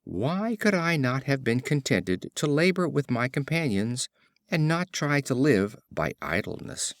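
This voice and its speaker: A man's voice: mid-range voice, big pitch swings, unhurried, no room sound, studio quality. A man reading a fable voices a beaten male donkey lamenting his own folly in a rueful tone.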